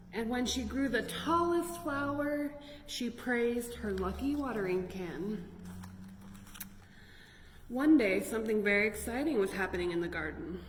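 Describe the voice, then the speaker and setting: In a reverberant, noisy room, a woman reads a picture book to young children. She hosts storytime at an unhurried pace with a slightly high voice, animated and full of little asides. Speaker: library storytime host reading picture books aloud. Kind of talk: expressive read-aloud for young children with chatty asides. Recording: echoey, reverberant room with noticeable noise.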